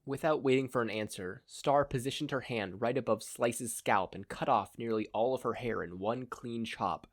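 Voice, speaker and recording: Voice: medium pitch, normal speed, few pauses, dry recording. Close, dry fan fiction narrator. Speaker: podfic narrator.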